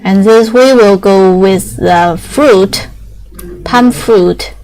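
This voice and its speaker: A young woman whose first language is Chinese, explaining factory machinery in halting English with many fillers and restarts. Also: mid-range voice, darkish tone, low-quality recording.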